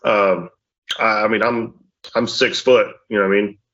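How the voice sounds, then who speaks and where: A middle-aged father on a parenting podcast, talking loudly in a rambling, casual way with a mildly deep voice, often saying "you know what I mean.". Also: fairly even intonation, moderate pace. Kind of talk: casual chat. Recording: dull lo-fi audio.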